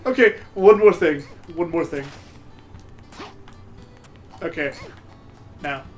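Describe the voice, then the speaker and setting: A man with a fairly high voice gives casual game commentary as a co-host. His talk is jokey and sarcastic, with game music playing behind him. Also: takes it slowly. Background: video game music.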